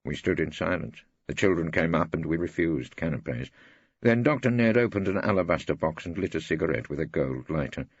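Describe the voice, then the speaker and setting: A deep-voiced English man narrates fiction with wry theatricality. His voice is husky and gravelly and swings expressively. The recording is dry and slightly dull. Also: animated, a little fast, no room sound. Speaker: audiobook narrator. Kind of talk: dramatised first-person fiction narration.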